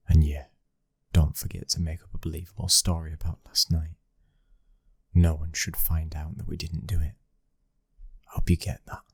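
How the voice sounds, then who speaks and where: A British man playing a commanding young mafia heir in an intimate ASMR roleplay, speaking in a measured, breathy voice that often drops toward a whisper. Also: a bass voice, no echo, heavily acted. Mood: brisk, businesslike. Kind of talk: ASMR boyfriend roleplay, scripted acting.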